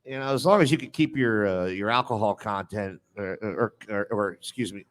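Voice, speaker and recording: A man's voice: fairly low pitch, moderate pace. A man hosting a live sports radio show with casual, teasing banter. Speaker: sports talk radio host.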